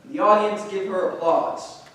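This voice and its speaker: A man's voice: high-pitched, unhurried, fairly bright tone. A man reading stage directions aloud as scripted narration for a staged play reading. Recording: distant, very echoey room, faint noise.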